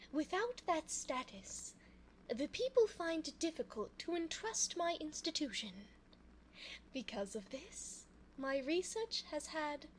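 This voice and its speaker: A woman playing an elegant, cunning vampire in an ASMR audio roleplay, speaking formally and coaxingly in a soft voice close to the microphone. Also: sits high, a little hiss or hum, full character voice. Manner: formal, genteel, slow, coaxing. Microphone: close binaural microphone.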